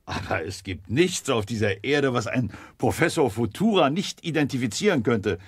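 A man's voice: medium pitch, lively intonation, normal speed, breathy edge, no room sound, studio quality. A man playing a blunt, skeptical, impatient army general in a children's sci-fi radio play, with a brusque manner.

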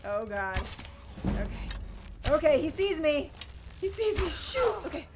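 A young woman playing a video game with a headset, jittery and excitable, swinging from loud yelps to hushed muttering. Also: high voice, measured pace, noisy background. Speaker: gamer. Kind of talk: let's play commentary. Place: small room indoors. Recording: game music loud behind voice. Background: game music.

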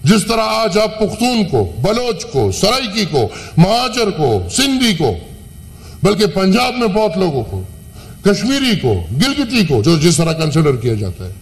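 A man giving an impassioned, rhetorical speech as a senator in a large parliament chamber, pausing at length between phrases. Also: high-pitched, sing-song, vocal fry. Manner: impassioned, rhetorical, with long pauses. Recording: parliament broadcast with hall reverb.

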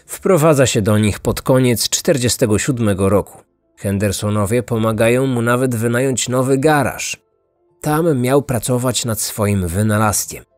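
A man narrates a scripted true-crime tale at an average male pitch. His storytelling is measured, and the sound is dry, with music underneath. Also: darkish tone, no room sound. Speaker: podcast host and narrator.

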